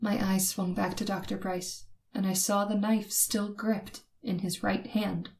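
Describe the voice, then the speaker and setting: An actress playing a terrified young woman who narrates her night of terror in old-time radio suspense style, dramatic and halting. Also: mid-range voice, flat delivery, gravelly, a little mellow. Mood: shaken.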